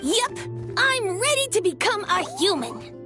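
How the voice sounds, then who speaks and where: A woman's voice: very high-pitched, sing-song, unhurried, music in the background, full character voice. A woman voicing a small young dragon in a video game, earnest, innocent and eager. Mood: earnest, nervous excitement. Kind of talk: video game character acting.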